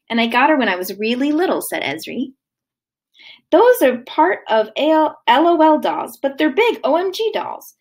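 A woman in her thirties, a kindergarten teacher, reading a children's book aloud with expressive, sing-song delivery. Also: on the high side. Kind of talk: read-aloud of a children's book to young kids. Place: living room at home, indoors.

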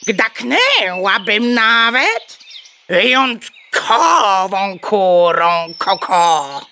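A woman's voice: medium pitch, very animated, drawn-out words, pushed and bright, exaggerated voice. A woman playing a bossy, indignant mother hen in a children's radio play, lecturing haughtily with clucking tics. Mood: dry, sardonic. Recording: bird chirps and noise behind. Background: birdsong, farmyard ambience.

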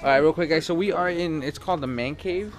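A man's voice: on the high side, fast. A young man in his twenties filming a casual vlog on an arm's-length action camera, joking around and swearing as he walks through town. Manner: joking, swears, laughs a lot. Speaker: vlogger. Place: outdoor streets and shops in a small town. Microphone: action camera held at arm's length.

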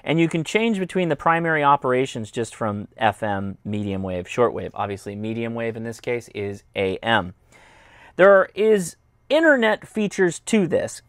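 A man's voice: mid-range voice, no room sound, studio quality. An American man in his thirties giving a casual, explanatory product review and demonstration. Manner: informal, explanatory. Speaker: host, radio reviewer. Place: indoors, home radio studio and workbench.

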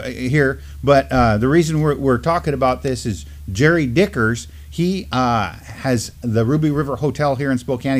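A middle-aged man with an American accent hosting a community news show in a casual, chatty style. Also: mid-range voice. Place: indoor studio, seated at a desk.

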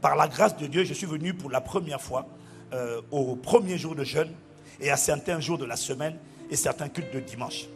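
A middle-aged man, a pastor, preaching in a large church on a handheld mic and reading out testimonies with animated exclamations. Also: a little high, moderate pace, soft background music. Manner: animated, exclaiming, bursts into shouting and laughter. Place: large church auditorium with a crowd.

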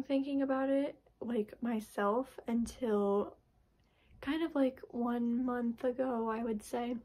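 A young woman talks straight to a close camera in her bedroom, her voice a bit raspy as she recovers from being sick. She sits fairly high in pitch with a soft, mellow tone. She rambles loosely, padding her sentences with "like" and restarting them. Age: young adult. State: raspy from recent illness. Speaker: vlogger. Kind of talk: casual personal vlog. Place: bedroom, indoors. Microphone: camera in front at close range.

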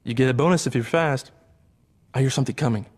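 A man's voice: medium pitch, fast talker, natural voice. A man playing an earnest, alert action team member in a video game, serious and direct. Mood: focused. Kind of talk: video game cutscene acting.

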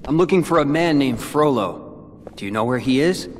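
A young man playing an earnest, cool-headed teenage hero in a video game, calm and understated. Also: medium pitch, normal speed, slight background noise, lightly acted. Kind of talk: scripted video game voice acting.

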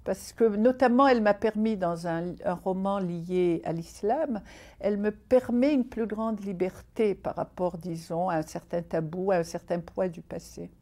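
A woman from Algeria whose mother tongue is Arabic talks through her thoughts in an indoor interview. Her voice is soft and dark in tone, and she picks each phrase slowly, pausing and starting over as she goes. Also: medium pitch. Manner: thoughtful, measured, many pauses and restarts. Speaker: writer interviewed. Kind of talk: reflective interview talk. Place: indoor room by a window. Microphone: unseen, seated interview framing.

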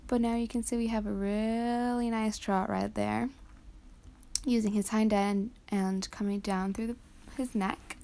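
A teenage girl from Canada talking softly over her riding footage, explaining each step with frequent hesitant ums. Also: medium pitch, fairly even intonation, measured pace, vocal fry. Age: teens. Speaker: vlogger.

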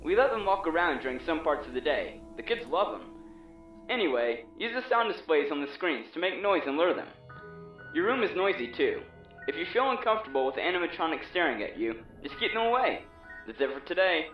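A man's voice: fairly high pitch, big pitch swings, a little fast, reverberant, distant mic, lo-fi sound, soft background music. A man voicing a matter-of-fact guide who gives scripted instructions in a video game. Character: calm in-game guide explaining night-shift job.

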